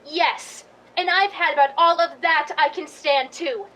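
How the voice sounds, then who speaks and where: An actress playing an imperious, short-tempered film star with a haughty, snappish manner in a radio drama. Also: female voice, high voice, a little slow, bright, forceful tone. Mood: angry, scornful. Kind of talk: theatrical radio drama acting.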